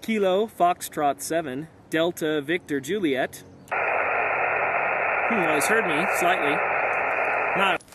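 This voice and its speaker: Higher-voiced man in noisy outdoor recording. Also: takes it slowly, pauses often. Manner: excited, hesitant with fillers. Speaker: amateur radio operator, video maker. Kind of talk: casual outdoor narration and radio calls. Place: outdoors on a hillside.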